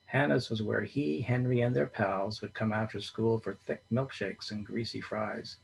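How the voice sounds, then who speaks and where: A man reading a short story aloud in a steady storytelling manner. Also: on the low side, normal speed, vocal fry, a little hiss or hum, low-quality recording. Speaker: reader.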